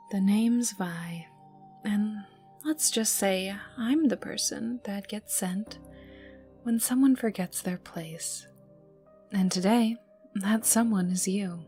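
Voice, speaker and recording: A woman playing a menacing, teasing young enforcer for a crime boss in an ASMR roleplay, taunting the listener in a breathy voice that dips toward whispers. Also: medium pitch, darkish tone, natural voice. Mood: amused, taunting. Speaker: voice actor in audio roleplay. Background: ambient music and mild sound effects.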